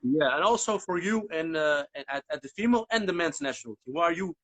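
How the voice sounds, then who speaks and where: A man's voice: a little high, lively intonation, fast, fairly bright tone, no echo. A man, a Berber speaker, interviewing casually and rambling with lots of repeated words. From Netherlands.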